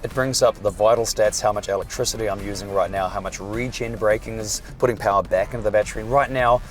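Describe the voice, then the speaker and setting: A hoarse man, chatting and joking as he reviews a car while driving it. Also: on the low side, steady tone, quick pace, soft background music. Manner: chatty, dry jokes. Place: inside a moving car.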